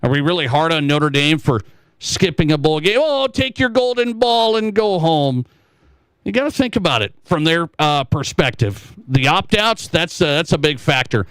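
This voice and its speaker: A man's voice: fairly high pitch, sing-song, moderate pace, fairly bright tone, smooth, no echo, low-quality recording. An American man from Montana hosting sports talk radio, giving emphatic opinions and acting out mocking objections. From Montana, United States.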